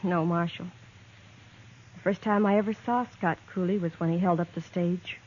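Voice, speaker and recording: A woman's voice: on the low side, fairly even intonation, normal speed, a little mellow, slight background noise, close to an everyday voice. An actress playing a young woman in an old radio western, hesitant and earnest, often stammering. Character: young woman, torn and pleading, in love with an outlaw. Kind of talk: 1950s radio drama acting. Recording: tinny vintage radio transcription.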